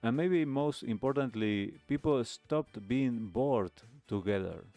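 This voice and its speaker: A man hosting a talk show, delivering a reflective monologue in measured, deliberate phrases with pauses. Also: medium pitch, mellow, faint music behind. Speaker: radio show host.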